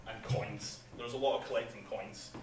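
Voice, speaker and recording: A man giving a chatty conference talk about his game, laughing at his own jokes and filling pauses with ums. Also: on the low side, steady tone. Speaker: game developer presenting at an industry event. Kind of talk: casual conference presentation. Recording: echoey hall, speaker far from mic, faint noise.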